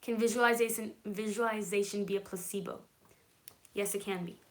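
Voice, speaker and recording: A woman's voice: medium pitch, fairly even intonation, fairly quick, low-quality recording. Chatty woman hosting a live stream. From California. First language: Hebrew. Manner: chatty and reassuring, often checks in with okay. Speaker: livestream host. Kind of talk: casual live stream answering viewer comments.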